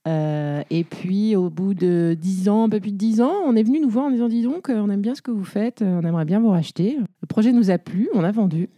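Forties woman chatting about her career, lots of ums, really mellow low-ish voice, dry close sound. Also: fairly quick, few pauses, no room sound. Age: 40s. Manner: chatty, many fillers and restarts. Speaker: guest, entrepreneur. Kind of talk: casual interview storytelling.

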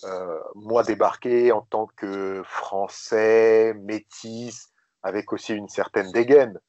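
A man's voice: lowish voice, fairly even intonation, darkish tone, no room sound. Hesitant, thoughtful man, dull dry sound. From France. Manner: thoughtful, hesitant, many pauses and fillers. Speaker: podcast guest. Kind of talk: reflective interview talk.

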